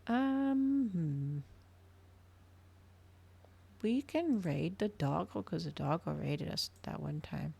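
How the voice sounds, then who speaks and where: A woman's voice: lowish voice, expressive, slow, vocal fry, pauses often, mellow, slightly rough. A woman livestreamer chatting casually to her viewers, rambling, trailing off and thinking aloud. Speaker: livestreamer hosting an ASMR and gaming channel.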